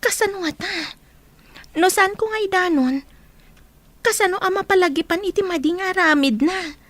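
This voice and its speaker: A woman's voice: extremely high pitch, normal speed, a bit bright, dry recording. A woman playing an earnest, concerned young student who pleads sincerely in an amateur audio drama. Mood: worried.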